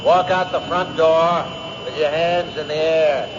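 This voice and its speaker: A man acting a stern policeman calling through a bullhorn in an old-time radio drama, deliberate and commanding. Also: fairly high pitch, fairly even intonation. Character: stern male policeman issuing surrender ultimatum. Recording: noisy, muffled old radio broadcast.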